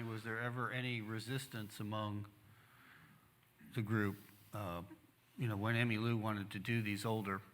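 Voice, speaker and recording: A man's voice: lowish voice, fairly bright tone, slight background noise. A man hosting a live talk as moderator, speaking conversationally to guests and audience. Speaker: moderator and host. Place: museum theater, on stage.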